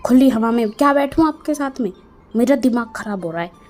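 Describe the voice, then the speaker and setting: A woman's voice: fairly high pitch, expressive, a little fast, darkish tone, soft background music, close to an everyday voice. A woman voicing a quarrelsome, easily angered middle-aged mother-in-law who complains indignantly, in a storybook tale. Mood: irritated.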